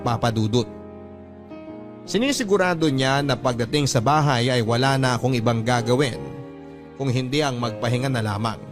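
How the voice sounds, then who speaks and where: A man's voice: medium pitch, close to an everyday voice. A man playing a devoted husband and father, earnestly narrating his married life in a sentimental radio love story. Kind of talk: first-person dramatized radio story narration in Tagalog. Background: music bed.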